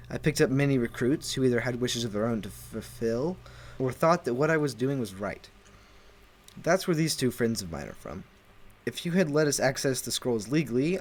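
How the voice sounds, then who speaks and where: A man's voice: medium pitch, natural voice. A man playing an earnest, grieving father and former master turned raider, speaking formal, pleading courtroom oratory in an audio drama.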